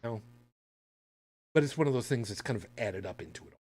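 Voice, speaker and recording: Man from the US, mid-range pitch, podcast co-host, casual news commentary, sardonic, stop-and-start emphatic delivery. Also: animated, brisk, darkish tone, no echo. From United States.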